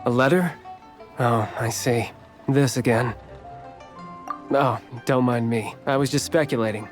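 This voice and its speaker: An actor playing a polite, musing guild representative in a video game, with a formal and wordy manner. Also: male voice, medium pitch, a little slow, soft background music, lightly acted.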